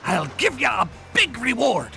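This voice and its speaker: A man playing a gruff, greedy old seafaring crab with a pirate accent and gravelly voice in a cartoon game. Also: very high voice, huge pitch swings, unhurried, over music, exaggerated voice. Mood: aggrieved, pleading.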